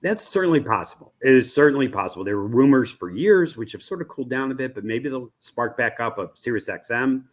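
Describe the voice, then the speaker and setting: An American man giving conversational, analytical interview answers as an expert guest, thoughtful and halting, often restarting his phrases. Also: medium pitch, animated. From United States. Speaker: media research executive, interview guest.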